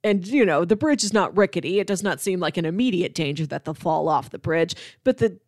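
A woman's voice: lowish voice, lively intonation, brisk, a little mellow, no room sound, clean studio sound. A woman co-hosting a casual film discussion, retelling things in imagined quotes as she goes. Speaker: podcast co-host.

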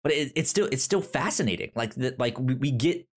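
A man co-hosting a podcast, talking thoughtfully in a casual, halting way with many restarts. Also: mid-range voice, expressive. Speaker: podcast co-host. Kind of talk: casual chat.

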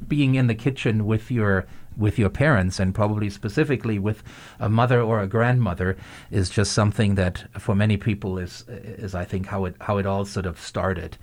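A man's voice: lowish voice, fairly even intonation, normal speed, a little mellow. Thoughtful male podcast host. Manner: thoughtful, searching for words. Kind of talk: podcast interview.

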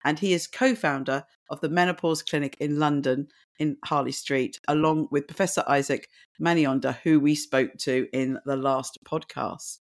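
A woman hosting a health podcast, hoarse and croaky from little sleep, reading a guest's introduction and asking interview questions. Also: sits low, steady tone, normal speed, few pauses. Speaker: podcast host.

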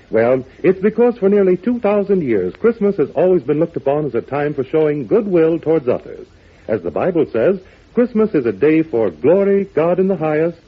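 A man's voice: on the high side, slightly rough. A man announcing on old-time radio, earnestly and chummily addressing young listeners. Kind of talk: 1940s children's radio address. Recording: thin phone-like old radio recording.

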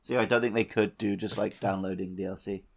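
A man's voice: on the low side, steady tone, quick pace. A man co-hosting a podcast in casual, conversational chat. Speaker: podcast co-host.

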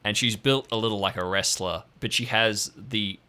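A man narrates as game master in a relaxed, descriptive way, often pausing with an um. His voice is low but bright and forceful. He sounds easygoing while he sets each scene. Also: clear, resonant tone. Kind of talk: improvised audio drama narration.

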